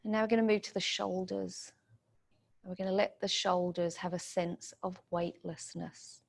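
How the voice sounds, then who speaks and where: A woman leads a guided meditation, giving soothing, gentle instructions in short phrases. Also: on the low side, fairly even intonation, moderate pace, vocal fry.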